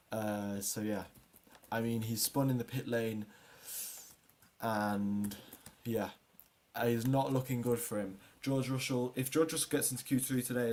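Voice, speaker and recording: A man's voice: fairly low pitch, a little mellow, a little hiss or hum. A man hosting a livestream, chatting casually with viewers and previewing a race in a rambling way, repeating words and often trailing off. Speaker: livestream host.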